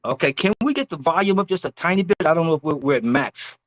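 Older guest in his sixties with a loud preachy delivery, high voice that swings all over, dry sound. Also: animated, fast, no room sound. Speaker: guest herbalist. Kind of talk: preacher-like storytelling.

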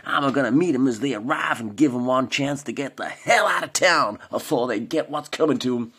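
A man voicing a deluded Englishman who talks in a put-on cowboy drawl, loud and bossy. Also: mid-range voice, brisk, breathy edge, voice pushed up. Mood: blustering.

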